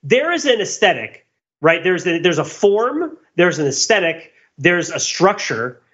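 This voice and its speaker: Husky guy, slightly high voice, casual podcast analysis, rambles and keeps checking in, bit lo-fi. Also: sing-song, measured pace, fairly bright tone. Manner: discursive, often checks with "right?". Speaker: podcast co-host. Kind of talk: casual analytical pop-culture discussion.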